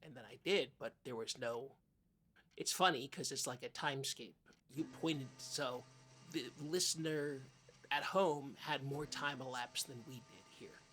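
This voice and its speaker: A woman's voice: rumbling low, unhurried, fairly bright tone, a little hiss or hum. A woman playing an awkward, oversensitive radio co-host in improvised comedy, hesitant and stammering, often repeating herself. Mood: bemused. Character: awkward, oversensitive, easily distracted public-access co-host. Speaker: podcast co-host.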